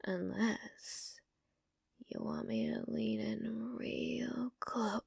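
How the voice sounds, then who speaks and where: Casting: actress as possessive yandere bounty hunter for ASMR roleplay; female, unhurried, sultry, dominant, slipping into whispers, close dry sound. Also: on the high side, big pitch swings, vocal fry, a little mellow, breathy edge, no room sound, full character voice. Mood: taunting, commanding. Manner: slow, taunting, sultry, often dropping into whispers.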